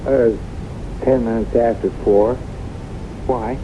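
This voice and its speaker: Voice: mid-range voice, steady tone, takes it slowly, lightly acted. An actor playing an easygoing teenage boy, a young sweetheart in a 1970s radio drama. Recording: noisy, muffled, windy 1970s radio recording.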